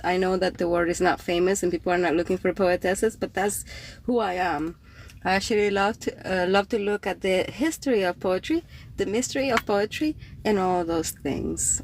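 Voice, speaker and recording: A woman's voice: mid-range voice, creaky, a little hiss or hum. A woman from Cuba whose first language is Spanish, hosting a livestream podcast in English with a Cuban accent, chatting in a meandering way with many fillers. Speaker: podcast host and poet. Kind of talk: casual livestream chat greeting viewers.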